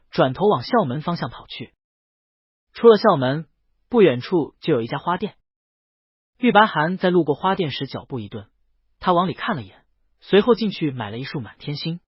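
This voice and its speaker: A man's voice: a little high, sing-song, moderate pace, long pauses, husky, no echo, muffled recording. A man reading a web novel aloud as a scripted, dramatic audiobook narration.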